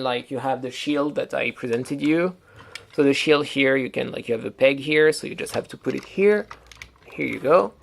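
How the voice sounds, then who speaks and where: Creaky-voiced man with a French accent. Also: a little high, noisy background. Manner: rambling, hesitant, heavy use of "like". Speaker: toy reviewer. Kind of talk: casual show-and-tell review.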